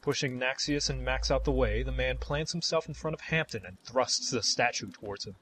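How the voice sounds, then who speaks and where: A man's voice: mid-range voice, brisk, fairly bright tone, slight background noise. A man delivering scripted fantasy narration as the storyteller of an audio tale. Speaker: narrator, game-style storyteller.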